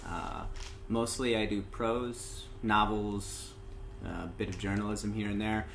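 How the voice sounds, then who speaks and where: Man, interview guest, relaxed storyteller, lowish voice, faint background noise. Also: slow pace, gravelly. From Indiana, USA. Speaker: interview guest, open mic host. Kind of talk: casual interview.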